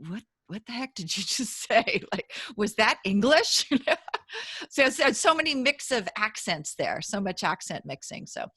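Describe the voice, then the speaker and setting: A woman's voice: mid-range voice, sing-song, unhurried, pushed and bright, husky, no room sound, clean studio sound. A woman hosting a podcast interview, chatty and bubbly, laughing often and breaking off mid-sentence. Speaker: podcast host.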